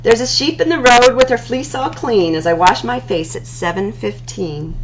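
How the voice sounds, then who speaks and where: A woman reading rhyming picture books aloud as a storytime host, in an expressive, singsong delivery with drawn-out words. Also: a little high, slight background noise, low-quality recording.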